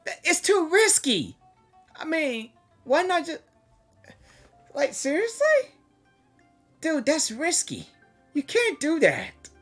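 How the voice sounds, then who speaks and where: A high-voiced woman hosts a game reaction in a slangy, excitable way, with big swings in pitch. She repeats words emphatically and talks straight at the characters, over faint music. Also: slow, long pauses. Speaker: gaming reaction video host. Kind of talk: casual, excitable reaction commentary.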